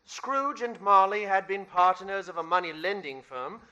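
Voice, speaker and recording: An actor playing an emphatic, theatrical storyteller narrating a classic tale in a radio play. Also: male voice, high voice, moderate pace, sharp tone, muffled recording. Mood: dramatic, emphatic. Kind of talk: dramatic scripted narration.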